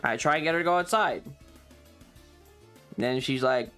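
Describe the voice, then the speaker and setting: A man talks like a streamer chatting to viewers, telling a story in loose, halting pieces. His delivery is wry and informal, with some swearing. Music plays faintly behind his voice. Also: medium pitch, sharp tone. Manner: frequent throat clearing. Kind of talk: casual rambling storytelling on a stream.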